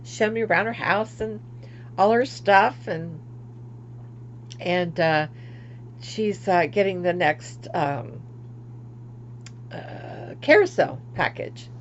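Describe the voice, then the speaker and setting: An older woman from Florida, chatting casually on her craft livestream, rambling and laughing at herself, often trailing off quietly. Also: fairly low pitch, lively intonation, takes it slowly, pauses often, highs cut off, a little hiss or hum. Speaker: craft vlogger.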